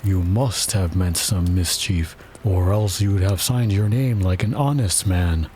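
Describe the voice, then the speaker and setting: A man voicing a pompous, slow-witted king acting as judge in a storybook reading. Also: low voice, darkish tone, a little hiss or hum. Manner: grave, self-important.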